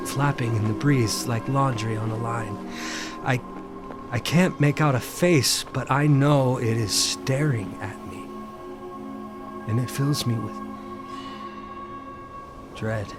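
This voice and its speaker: An actor playing a haunted, curious solitary man in an audio drama, halting and gravelly, as if thinking aloud. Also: medium pitch, loud music behind the voice, close to an everyday voice. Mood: uneasy, dread. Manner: hesitant, halting, murmuring to himself. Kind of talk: first-person dramatic narration with in-scene dialogue.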